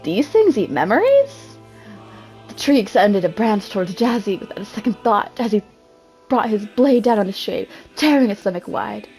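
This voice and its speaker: A woman reads a story aloud over soft music in a mid-range voice. She plays up the drama theatrically, then drops into casual, self-mocking asides as an amateur reader. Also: medium pitch, normal speed, low-quality recording.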